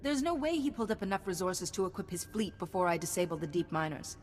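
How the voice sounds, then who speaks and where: A female Jedi, earnest, firm, slightly low, long reverb. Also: sing-song, quick pace, soft background music. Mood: resolute. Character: principled female Jedi, resolute and dutiful. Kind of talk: game voice acting.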